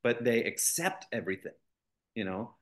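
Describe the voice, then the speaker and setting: A man's voice: medium pitch, animated, normal speed. A man, a college executive as interview guest, speaking fluently with confident, joking ease. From United States. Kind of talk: interview conversation.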